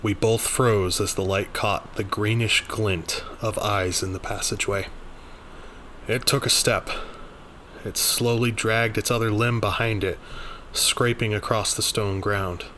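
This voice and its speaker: A man narrates a scripted horror story in the first person. His voice is on the low side, with a strong bright, forceful edge. There is faint noise in the background. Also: fairly even intonation, measured pace.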